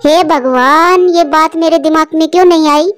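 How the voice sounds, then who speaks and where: A woman voicing excitable, chatty female birds in a children's cartoon, with a dramatic manner. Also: sits high, moderate pace, natural voice. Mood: dismayed. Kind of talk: Hindi children's cartoon dialogue. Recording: music behind the voice.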